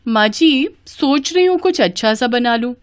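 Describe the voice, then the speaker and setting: Woman putting on a high-pitched fussy young bride. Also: expressive, moderate pace, smooth, dry recording, studio quality, natural voice. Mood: nervous, evasive.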